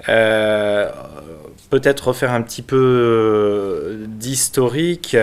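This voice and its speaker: A man from France who is a local mayor, giving an unscripted explanation in a halting, hesitant manner with frequent pauses. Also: lowish voice, clear, resonant tone. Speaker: village mayor and local councillor.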